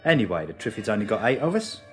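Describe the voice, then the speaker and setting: A man's voice: on the low side, big pitch swings, quick pace, a bit bright, faint music behind. An actor playing a cheerful, blinded working-class Englishman in a radio drama, chatty and wry, with colloquial turns and morbid jokes. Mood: matter-of-fact.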